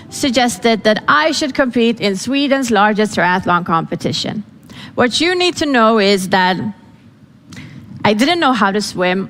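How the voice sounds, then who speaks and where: A woman's voice: on the high side, fairly bright tone, in a large hall. A woman whose first language is Farsi gives a dramatic storytelling speech, acting out the voices she quotes. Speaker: speaker. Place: event hall.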